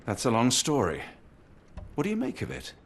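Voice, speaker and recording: A man's voice: mid-range voice, huge pitch swings, normal speed, a little hiss or hum. A man with a British accent playing an earnest, formal doctor and loyal companion in a video game. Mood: polite. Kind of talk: scripted video game cutscene acting.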